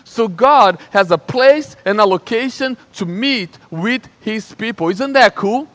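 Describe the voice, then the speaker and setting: A young man from Brazil with a Brazilian Portuguese accent, preaching a sermon in a lively, conversational way and often checking in with "right?". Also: high voice, measured pace. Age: young adult. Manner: animated and conversational, with frequent restarts and "right?" checks. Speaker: pastor.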